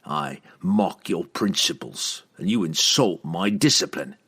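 A man voicing a cold, taunting assassin with a slow, purring, menacing delivery in a fantasy audiobook. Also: deep voice, lively intonation, fairly bright tone, breathy edge, heavily acted. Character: sinister, cold, taunting human male assassin.

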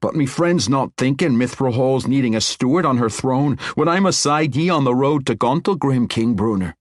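A man's voice: mid-range voice, animated, normal speed, dry recording, studio quality, voice pushed up, close to an everyday voice. A man doing a young earnest dwarf king. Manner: earnest dwarven brogue, explaining.

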